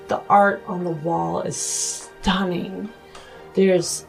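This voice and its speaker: Female player, unhurried, vocal fry, lowish register, describing a game scene deliberately. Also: a little mellow, low-quality recording.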